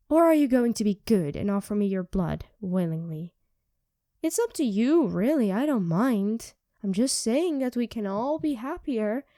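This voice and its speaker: A woman's voice: a little high, no echo, studio quality. Woman playing a teasing vampire woman. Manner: teasing, mocking, with soft whispers. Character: sardonic, teasing female vampire, restless and hungry. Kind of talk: ASMR roleplay.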